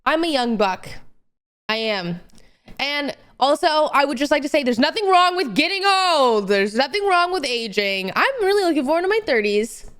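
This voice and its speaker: A woman's voice: sits high, big pitch swings, normal speed, fairly bright tone. A woman in her late twenties rambling casually to livestream viewers in a chatty, slangy, emphatic way.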